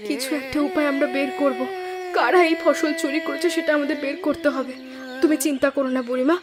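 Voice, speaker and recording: A woman's voice: sits high, steady tone, fast talker, a crowd in the background, lightly acted. A woman voicing a worried, soothing younger village woman in a cartoon, with a plaintive delivery. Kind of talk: Bengali cartoon voice acting.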